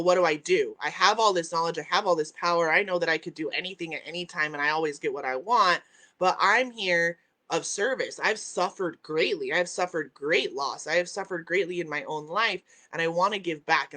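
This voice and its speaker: A woman's voice: on the low side, a little fast, sharp tone. Emphatic woman teaching at home. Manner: emphatic, repeats key phrases, tags lines with "right?"; reads out and answers viewer comments. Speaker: host. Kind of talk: spiritual teaching on a live stream. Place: at home by a window, with dogs in the house.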